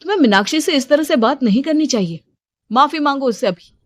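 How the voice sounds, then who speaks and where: Woman acting an angry young husband, high-pitched. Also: animated, brisk. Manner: stern, scolding, urgent. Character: angry young husband scolding his wife. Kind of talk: Hindi cartoon family drama acting.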